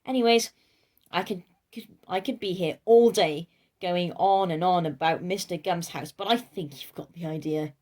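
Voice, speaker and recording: A woman's voice: fairly low pitch, moderate pace, a little mellow. A woman reading a children's storybook aloud in a playful, theatrical way, speaking directly to her listeners. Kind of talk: animated children's storybook narration.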